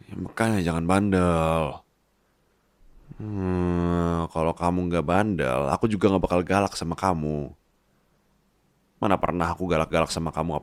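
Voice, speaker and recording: A man's voice: extremely low pitch, no room sound. A man playing a bossy, scolding but doting boss husband, teasing and tender in an intimate ASMR roleplay. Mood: mock stern. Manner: teasing, nagging, tender, slips into English.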